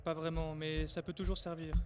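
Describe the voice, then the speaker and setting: A man playing a cautious young adventurer who quietly takes charge, in a natural, conversational French audio drama style. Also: fairly high pitch, little pitch movement, a little fast, lightly acted. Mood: weary, questioning.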